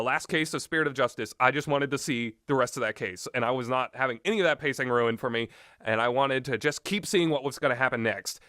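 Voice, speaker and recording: A man with an average-pitched voice talks casually and without a script, as a game reviewer would. He is opinionated and a little sweary, and he often hesitates and circles back on his own sentences. The sound is close and dry, with little room echo. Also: sharp tone. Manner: hesitant, backtracking, opinionated, mildly profane. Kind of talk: casual unscripted rambling commentary.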